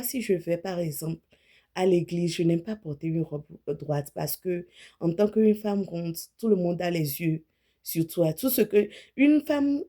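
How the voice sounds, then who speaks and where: Mellow-voiced woman in her thirties, chatty. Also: on the low side. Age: 30s. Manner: chatty, self-correcting, speaks frankly about her own body. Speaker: image consultant. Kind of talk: casual talk to camera.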